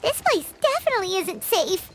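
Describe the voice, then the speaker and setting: Tiny chirpy game sidekick: extremely high, squeaky, swooping, curious, over music. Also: female voice, normal speed, slight background noise, exaggerated voice. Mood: surprised. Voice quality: squeaky, childlike. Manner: perky, expressive. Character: small chirpy floating fairy-like girl companion, curious. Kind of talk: video game voice acting. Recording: background music under voice.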